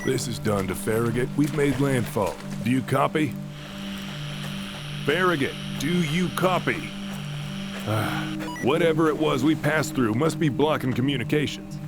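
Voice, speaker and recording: An actor playing a commanding, no-nonsense military commander in an audio drama, curt and authoritative. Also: male voice, mid-range voice, a little slow, natural voice. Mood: tense. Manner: curt, authoritative, barking orders. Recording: music behind the voice.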